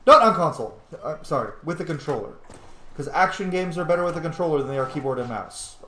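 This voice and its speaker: A man's voice: a little high, expressive, fast, bright, forceful tone, slight background noise. Man chatting over a game, roomy echo. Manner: rambling, informal, swears. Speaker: gaming video host. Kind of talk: casual let's play commentary.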